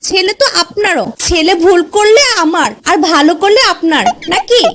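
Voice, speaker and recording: Woman acting very shrill exasperated cartoon mother. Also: animated, normal speed. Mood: peeved retorting. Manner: retorting, nagging. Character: exasperated Bangladeshi housewife and mother, answering back. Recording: slightly noisy track.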